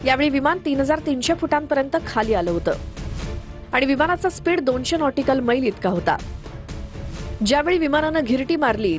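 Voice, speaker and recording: A woman reading a scripted news narration. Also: a little high. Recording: voice-over with prominent music bed. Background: background music.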